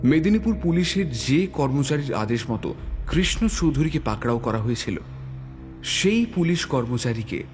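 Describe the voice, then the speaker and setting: A man reads a scripted detective tale as its inspector narrator. His voice is middle-pitched and his phrasing measured and deliberate. Faint music runs underneath. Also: darkish tone. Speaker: narrator and police inspector character. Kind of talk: dramatic scripted story narration. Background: background music.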